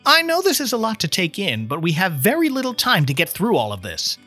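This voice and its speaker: A man's voice: high voice, wildly swooping pitch, a little fast, sharp tone, slightly rough, faint music behind, pitched above the performer's own voice, exaggerated voice. A man voicing an earnest, impatient trainee guardian angel who explains things with mild condescension in a storybook reading. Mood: reasoning, condescending. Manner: explanatory, mildly condescending toward humans.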